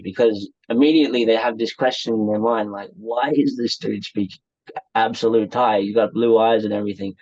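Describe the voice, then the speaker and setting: A man's voice: fairly low pitch, darkish tone, no echo. A young man, chatting easily as an interview guest, rambling with restarts, on a dull lo-fi recording. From Australia. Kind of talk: casual conversation.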